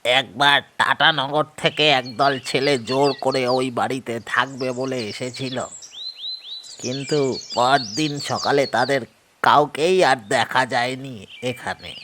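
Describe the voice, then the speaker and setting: An actor playing a grave local man, recounting a haunted house's history in a cautionary, deliberate way for an audio horror story. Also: medium pitch, steady tone, background noise, lightly acted. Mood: grave, warning.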